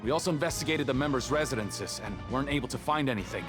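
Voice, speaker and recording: A man's voice: mid-range voice, expressive, fast talker, over music, natural voice. An actor playing a dutiful fortress guard in an anime-style video game, a man reporting earnestly and formally to his superior. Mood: urgent, reporting.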